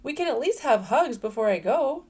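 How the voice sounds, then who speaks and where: Woman as an animated, homebody storybook groundhog. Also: sits high, normal speed, slightly rough, pitched above the performer's own voice. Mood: friendly. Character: shy-at-first groundhog, friendly homebody of the burrow.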